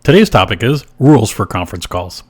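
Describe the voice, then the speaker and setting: A man with a fairly low voice narrates scripted advice as a podcast host. His delivery is measured and instructional, laying out each point in order. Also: expressive.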